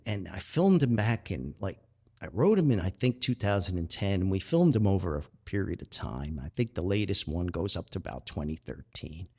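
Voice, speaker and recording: A man hosting a personal podcast, talking reflectively and earnestly with drawn-out emphasis. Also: on the low side, husky. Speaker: podcast host.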